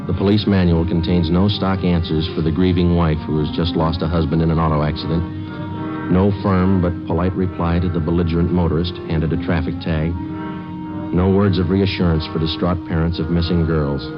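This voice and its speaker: A man playing a stoic police sergeant in an old radio crime drama, speaking in a clipped, matter-of-fact deadpan. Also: sits low, fairly quick, natural voice. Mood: grave narration. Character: stoic male police sergeant, terse and steady. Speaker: lead actor and narrator. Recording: dull old radio recording with music.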